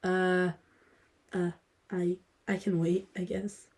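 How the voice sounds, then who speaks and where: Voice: mid-range voice, completely flat delivery, slow, a little mellow. A performer playing a timid, nervous, bookish boy who speaks hesitantly and anxiously in a family audio drama.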